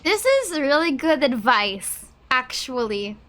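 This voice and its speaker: A woman's voice: high voice, big pitch swings, takes it slowly, a bit bright. A woman giving casual let's-play commentary, reacting aloud and empathetically to a game's story. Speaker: gaming YouTuber.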